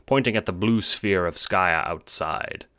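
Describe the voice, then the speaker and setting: A man's voice: deep voice, a little slow, bright, forceful tone, dry recording. A man giving scripted narration for an audio book styled as a drama. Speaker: narrator, writer and producer.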